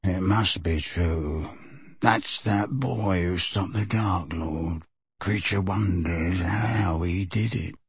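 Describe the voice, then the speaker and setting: A man voicing an old male house elf who mutters resentfully in a slow, hoarse, deep croak. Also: no room sound, full character voice. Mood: wondering mutter. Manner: slow grumbling mutter under the breath.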